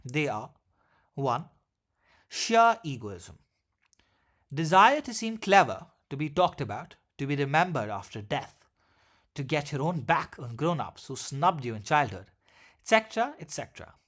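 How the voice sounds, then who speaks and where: A man narrates a literary essay from a script. His voice sits a little higher than average and rises and falls in an animated way. He phrases deliberately, pausing often between short groups of words. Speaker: essay reader.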